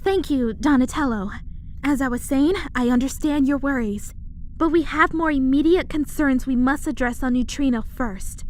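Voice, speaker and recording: A woman's voice: high-pitched, normal speed, lightly acted. A woman playing a young alien princess, speaking formally with earnest, poised emphasis. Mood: composed. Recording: faint background noise.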